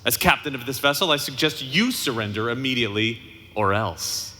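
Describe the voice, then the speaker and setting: An actor playing a haughty, menacing imperial captain in a live audio drama, pompous and threatening. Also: male voice, mid-range voice, lively intonation, normal speed, sharp tone. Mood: cold menace. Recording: reverberant live hall sound.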